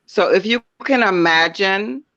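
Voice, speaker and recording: A raspy-voiced man joining a live chat as a guest, playfully teasing a juicy story. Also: sits high, measured pace, bright, forceful tone, highs cut off. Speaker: guest caller. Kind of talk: casual live-stream chat.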